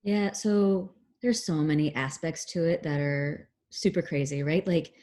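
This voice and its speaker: A woman in her thirties from Oklahoma, a physician talking casually as a guest on a video call, relaxed and chatty with many fillers. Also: deep voice, unhurried. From Oklahoma, USA.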